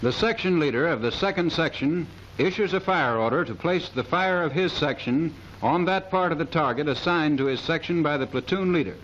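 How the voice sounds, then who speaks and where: A man's voice: fairly high pitch, brisk, hardly any pauses, a bit bright. A man reading scripted military instructional narration in a formal, precise manner, in the style of a 1930s training film. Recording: dull, hissy 1930s film soundtrack.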